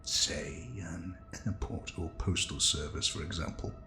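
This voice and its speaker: An actor playing a cold, fanatical scientist villain who speaks with menacing deliberation and a gravelly creak in an audio drama. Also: male voice, low voice, steady tone, unhurried, pushed and bright, faint music behind. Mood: smug, taunting. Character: cold fanatical male scientist villain bent on culling humanity. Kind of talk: audio drama monologue and dialogue.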